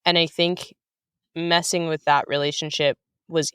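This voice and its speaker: A woman's voice: low voice, little pitch movement, a little slow, a bit bright, smooth, no room sound. Woman thinking aloud in a dry-sounding interview. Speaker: interview guest, writer of audio fiction. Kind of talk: casual, thoughtful interview talk.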